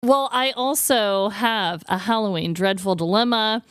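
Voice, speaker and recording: A woman's voice: medium pitch, measured pace, a bit bright, clear, resonant tone, no room sound, clean studio sound. A woman co-hosting a podcast in casual comedic chat, enthusiastic and playful. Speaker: podcast co-host.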